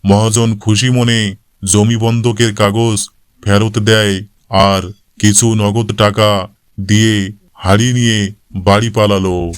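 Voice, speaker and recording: A man's voice: fairly low pitch, level tone, takes it slowly, pauses often, smooth, no echo, studio quality. A man reading scripted narration for a cartoon moral story as the storyteller.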